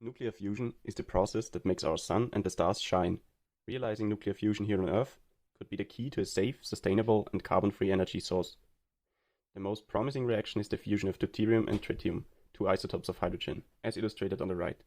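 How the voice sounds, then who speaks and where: A young man from Austria giving a read-aloud presentation of a student research project in a steady explanatory way. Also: on the low side, fairly quick.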